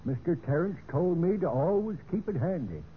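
A man's voice: fairly high pitch, expressive, normal speed, narrow, tinny audio, natural voice. An actor playing a defensive, plain-spoken male servant under suspicion in a vintage radio mystery. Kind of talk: 1940s-50s radio mystery acting. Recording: noisy band-limited old radio recording.

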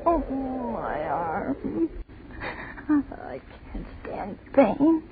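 An actress playing a terrified, pleading young woman in 1930s radio horror, breathless and halting. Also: sits high, big pitch swings, vocal fry, heavily acted. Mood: weak, dazed. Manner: breathless, halting, repeating herself in dread. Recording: noisy, tinny 1930s radio recording.

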